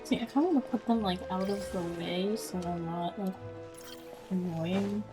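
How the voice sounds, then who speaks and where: A woman's voice: medium pitch, moderate pace. A woman streaming a video game, commenting casually and thinking aloud to herself as she plays. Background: game music.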